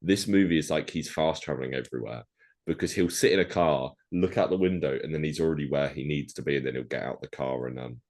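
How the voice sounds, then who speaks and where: A man's voice: a bass voice, fast, a little mellow. A man co-hosting a film podcast, chatting casually and rambling with lots of restarts and fillers. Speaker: podcast co-host. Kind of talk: casual chat.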